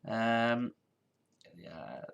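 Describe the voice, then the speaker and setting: A young man in his twenties talks in a somewhat low voice, stuffy with a head cold and worn out. He chats loosely on a live stream, trailing off and starting his sentences over. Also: very animated, very slow, vocal fry. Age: 20s. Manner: rambling, trailing off and restarting sentences. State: congested with a head cold, tired. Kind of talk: casual live-stream chat.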